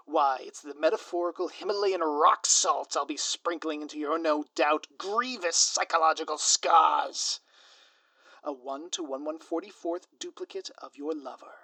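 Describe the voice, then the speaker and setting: Smug scheming supervillain spouse someone acting it out, grand sardonic talk, medium pitch, super dry close recording, audio roleplay. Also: bright, forceful tone, no room sound. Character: theatrical gloating ex-supervillain spouse, smug, scheming, playfully flustered. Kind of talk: scripted audio roleplay monologue addressed to listener.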